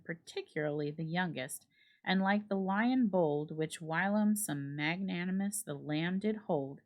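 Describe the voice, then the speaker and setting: A woman reading a classic story aloud as a dramatic, expressive narration. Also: fairly low pitch. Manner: expressive read-aloud delivery. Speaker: library staff reader.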